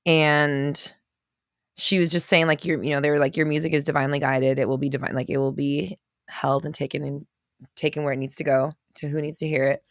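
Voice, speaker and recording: A woman in her thirties chats through a casual livestream, reading viewers' comments aloud and reacting with quick laughs and exclamations. Her voice sits a little low for a woman. The sound is dry and close, with no room to it. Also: flat delivery, dry recording. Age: 30s.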